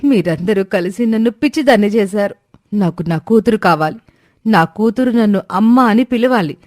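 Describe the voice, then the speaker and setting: A woman's voice: mid-range voice, animated, moderate pace, darkish tone, dry recording, studio quality, close to an everyday voice. A woman playing a possessive, anguished young mother in a family drama story, speaking with emotional, stubborn insistence. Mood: hurt, insistent.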